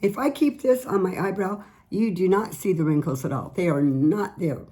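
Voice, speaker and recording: Woman, middle-aged, slightly raspy, lowish voice, chatty earnest skin care vlog. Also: normal speed, dark tone. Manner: chatty, earnest, repeats words. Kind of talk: casual product tips vlog.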